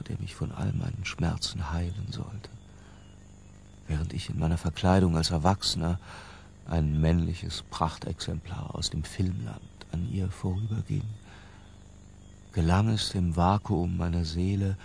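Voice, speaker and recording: An actor playing an obsessive, lyrical middle-aged intellectual who confesses in a hushed, deliberate literary radio drama narration. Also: male voice, low voice, vocal fry, a little hiss or hum. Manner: hushed, deliberate, breaking into near-whispers.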